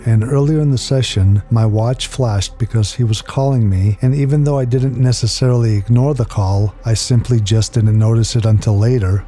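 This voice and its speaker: A man's voice: medium pitch, dark tone. A middle-aged man whose first language is Greek, giving an earnest, enthusiastic voice-over for a paranormal app review. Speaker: paranormal investigator.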